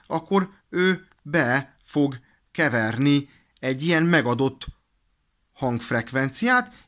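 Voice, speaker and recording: A man explains things in an informal, halting way, thinking aloud as he presents a hands-on demonstration. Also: medium pitch, fairly bright tone. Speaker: presenter of a radio equipment demonstration. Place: indoors at a desk.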